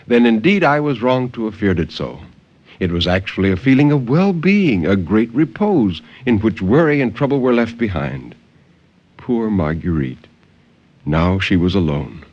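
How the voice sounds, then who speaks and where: A man playing a troubled, tender husband believed dead, in a deliberate 1950s radio horror monologue. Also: on the low side, sing-song, darkish tone, dull audio. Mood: sorrowful. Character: anguished French husband believed dead, telling his tale. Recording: noisy vintage 1950s radio recording.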